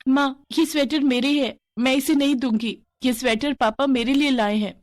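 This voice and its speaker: A woman's voice: high-pitched, fairly even intonation, normal speed, no echo, studio quality, voice pushed up, natural voice. A woman voicing a sweet, mistreated little girl in a story, childlike and plaintive. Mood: hurt.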